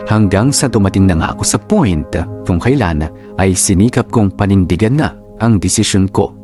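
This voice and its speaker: A man's voice: on the low side, darkish tone, natural voice. A man with a Filipino accent reading a first-person letter as dramatic scripted radio narration, in a reflective way. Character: storyteller voicing a troubled wife's first-person letter.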